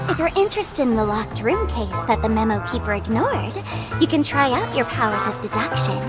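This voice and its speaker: A young, theatrical trickster villainess from a video game. Her voice is high and swoops freely as she teases and announces with showy mischief. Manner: teasing, showy, like a stage announcer.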